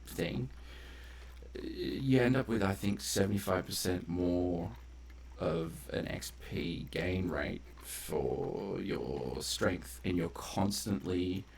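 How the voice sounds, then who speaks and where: A man with a very low, gravelly voice talks through a game he is playing. His commentary is casual and unscripted, wandering into detailed explanations of how things work, with noticeable ambient noise behind him. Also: slow pace, darkish tone. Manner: rambling, tangential, explains game mechanics in detail. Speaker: game streamer and narrator of a playthrough.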